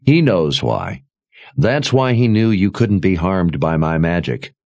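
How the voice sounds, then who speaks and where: A man voicing a calm, commanding young lord in a fantasy audiobook, measured and explanatory. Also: sits low, no echo, lo-fi sound, heavily acted.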